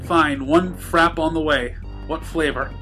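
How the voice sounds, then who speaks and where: A man reads the part of a mild-mannered husband pony who keeps a shop. The voice is a little high for a man, polite and proud as it explains. Quiet music plays behind the cartoon tale. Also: unhurried. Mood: hesitant explaining. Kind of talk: fan fiction story reading.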